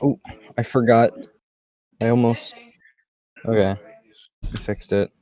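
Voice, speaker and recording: A man's voice: medium pitch, fairly even intonation, slow, dark tone, lo-fi sound. An American man hosting a podcast, chatting casually, telling anecdotes and laughing easily. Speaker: podcast host.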